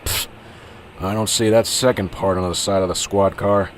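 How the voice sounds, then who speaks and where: Man acting a deep-voiced, cynical veteran cop. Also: steady tone, normal speed, lightly acted. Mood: grumbling, sarcastic. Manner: grumbling, sarcastic, dismissive. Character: cynical, weary veteran small-town police officer, male. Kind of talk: amateur radio drama acting. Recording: clearly noisy, vehicle-like background ambience.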